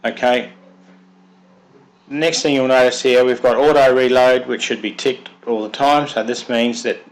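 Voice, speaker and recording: A man with a cold and a slightly froggy voice, methodically walking through a piece of software step by step. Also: mid-range voice, fairly even intonation, normal speed, low-quality recording. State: has a cold, sounds froggy. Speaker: software developer presenting his product. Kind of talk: screen-recorded software walkthrough.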